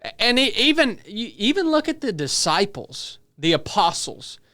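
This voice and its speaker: A man's voice: high voice, very animated, moderate pace, pushed and bright. A man in a casual discussion of faith, speaking earnestly and repeating phrases for emphasis. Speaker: podcast team member.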